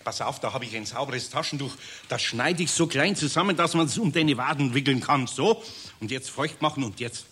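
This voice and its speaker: Husky, flustered Bavarian master craftsman. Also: medium pitch, moderate pace, bright, forceful tone. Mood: exasperated. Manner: hesitant, flustered stammering, homely. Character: kindly, flustered older male master craftsman. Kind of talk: 1970s German children's radio play acting.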